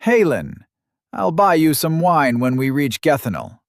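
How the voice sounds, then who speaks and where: Man playing a jovial warrior, raised pitch. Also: very animated, measured pace, dry recording, clean studio sound. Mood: amused, gloating. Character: swarthy, cheerful male warrior collecting his bets.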